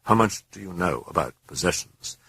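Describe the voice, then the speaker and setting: A man voicing a calm Jesuit priest who asks measured, probing questions. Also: sits low, vocal fry, husky, no room sound, full character voice. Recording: loud music behind the voice.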